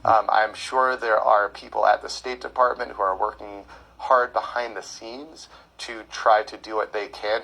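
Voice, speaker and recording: A man who hosts a podcast, guesting on a call-in show and answering callers in a measured, explanatory way with frequent fillers. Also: lowish voice, gravelly, a bit bright, breathy edge, rough recording.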